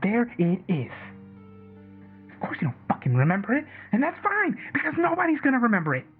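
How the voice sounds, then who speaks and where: A man's voice: very high voice, wildly swooping pitch, fairly quick, heavily acted. An actor playing an agitated, obsessive conspiracy caller in a radio drama, stammering, repeating himself and swearing as he rambles. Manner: stammering, repetitive, profane rambling. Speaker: caller on a late-night radio show. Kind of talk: audio drama acted as casual talk radio. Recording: tinny phone-like line with background music.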